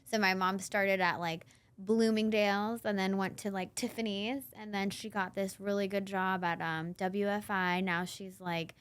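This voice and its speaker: A woman in her thirties from Northern Virginia, a podcast guest telling her story in a chatty, animated way and laughing often. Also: mid-range voice, normal speed, clean tone. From Northern Virginia, USA. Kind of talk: casual interview chat.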